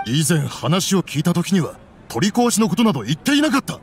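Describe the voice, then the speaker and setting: Game role: principled, protective theater boss, high-set animated male voice, firm and formal. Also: brisk, slight background noise. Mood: firm protest.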